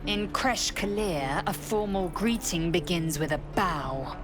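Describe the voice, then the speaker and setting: A woman's voice: fairly low pitch, expressive, measured pace, over music. An actress voicing a proud, harsh female githyanki warrior in a video game, curt and commanding. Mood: formal. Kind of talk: video game cutscene dialogue.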